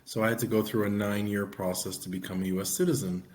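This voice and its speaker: A middle-aged man, an immigration attorney co-hosting a podcast, explaining in an earnest, steady way. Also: fairly low pitch, fast. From United States. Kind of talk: podcast conversation.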